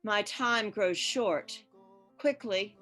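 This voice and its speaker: A woman playing a solemn, measured ghostly spirit who guides and questions in a radio play. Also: medium pitch, a bit bright, soft background music. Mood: urgent.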